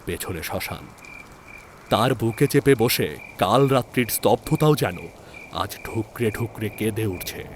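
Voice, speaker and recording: A man narrating a horror story in a dramatic, measured and suspenseful manner. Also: lowish voice, expressive, a little hiss or hum.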